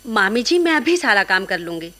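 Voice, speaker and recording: An actress playing a meek, devout young niece in a radio play, gentle and obedient. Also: female voice, mid-range voice, very animated, normal speed. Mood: meek, earnest. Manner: gentle, obedient, soft-spoken. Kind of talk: Hindi radio play acting.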